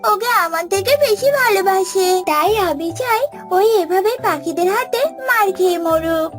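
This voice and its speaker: A very high-pitched, childlike young calf played by a woman from a children's cartoon, with music behind. She is sulky and spiteful, flinging sarcastic accusations in a whiny manner. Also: normal speed.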